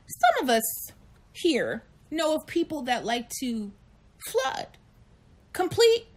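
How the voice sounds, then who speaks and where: A woman in her late thirties chatting frankly and animatedly on a live chat show, telling stories and acting out quick voices. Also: sits high. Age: 30s. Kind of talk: candid casual chat.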